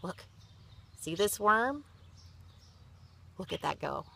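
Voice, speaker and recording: A woman's voice: medium pitch, very animated, slow, vocal fry, fairly bright tone. A woman reading a picture book to children, expressively, with chatty asides and simple explanations. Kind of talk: read-aloud with chatty asides.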